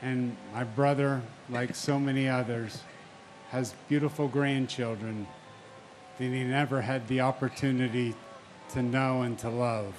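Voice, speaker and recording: Solemn man, unhurried reading, firm and insistent in his remarks at a memorial ceremony. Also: mid-range voice, fairly even intonation, faint music behind, poor audio. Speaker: victim's family member reading names.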